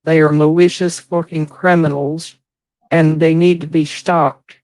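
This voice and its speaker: A man's voice: fairly high pitch, level tone, a little slow, darkish tone, dry recording, low-quality recording. A man in a casual livestream chat, hosting and speaking in a profane, aggrieved, defensive way. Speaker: livestream host.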